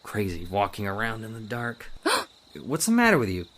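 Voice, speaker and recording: Casting: male actor as scornful older husband in radio horror, snappish and haunted, with wildly varied pitch. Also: huge pitch swings, normal speed, fairly bright tone, heavily acted. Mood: irritable, scornful. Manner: impatient, snapping, muttering broodingly. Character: irritable, scornful older husband, a rose grower haunted by memory. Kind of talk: old-time radio horror acting.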